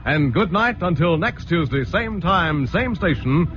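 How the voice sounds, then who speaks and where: A man's voice: a little high, a little slow. A male radio narrator and announcer giving scripted closing remarks and credits in a polished style. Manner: polished, lively announcer delivery. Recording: dull old radio broadcast over music.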